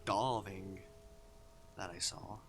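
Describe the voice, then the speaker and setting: A male streamer reading a visual novel aloud with expressive, dramatic delivery and casual commentary. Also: deep voice, takes it slowly. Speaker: game streamer. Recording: music behind the voice.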